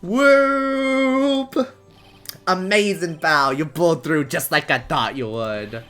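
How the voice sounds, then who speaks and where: A streamer acting out a loud, boisterous detective guy. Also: mid-range voice, sing-song, measured pace, soft background music. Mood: exuberant. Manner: exuberant, loud, emphatic. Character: boisterous, grateful, big-hearted male detective. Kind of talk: visual novel character dub.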